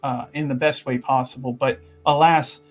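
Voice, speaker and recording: A man playing a flustered, obsequious university representative in improvised tabletop roleplay, halting and over-apologetic in formal language. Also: medium pitch, fairly even intonation, faint music behind, close to an everyday voice.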